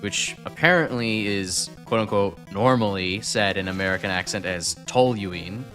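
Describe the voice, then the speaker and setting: Young Chicago-accented male streamer, low-pitched, loose and rambling delivery, soft music underneath. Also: normal speed. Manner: rambling, self-correcting, chuckling. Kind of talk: casual game stream chat.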